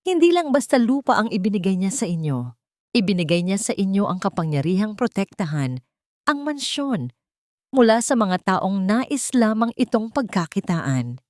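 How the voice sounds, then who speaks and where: Gentle male lawyer as a woman narrator reads him, calm, measured, respectful, storybook drama, dry recording. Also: medium pitch, no room sound, lightly acted. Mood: calm, earnest.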